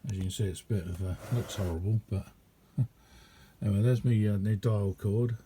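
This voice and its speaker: A man giving a casual, rambling hands-on demonstration as a radio hobbyist, chatty and hesitant in an unhurried way. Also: fairly low pitch, fairly even intonation, warm tone, a little hiss or hum.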